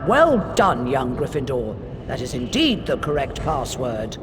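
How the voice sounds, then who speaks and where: Stately guardian in echoing hall. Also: male voice, mid-range voice, very animated, a little slow. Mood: stately approval. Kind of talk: video game voice acting. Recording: long echo, noisy background.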